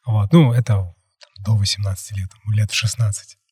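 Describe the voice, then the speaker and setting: A man's voice: on the low side, steady tone, fairly quick, warm tone, breathy edge, no room sound, clean studio sound. A young man hosting a casual podcast, talking in a rambling way full of fillers and hedges. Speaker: podcast host.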